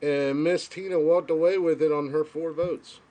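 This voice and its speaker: A man hosting a casual live-stream podcast who talks loudly and laughs often. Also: fairly high pitch, fairly even intonation, normal speed. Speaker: podcast host.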